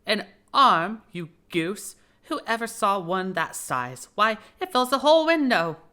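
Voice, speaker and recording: Guy doing a cross bossy rabbit for a storybook, high and swoopy, scolds everyone. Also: big pitch swings, measured pace, sharp tone, smooth, heavily acted. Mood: angry, scolding. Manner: imperious, scolding, exclamatory. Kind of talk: audiobook storybook character.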